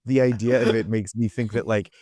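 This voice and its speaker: A man's voice: lowish voice, fairly even intonation, fairly quick, warm tone, dry recording, clean studio sound. An American man chatting casually and comically as a panelist on a news comedy podcast. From United States.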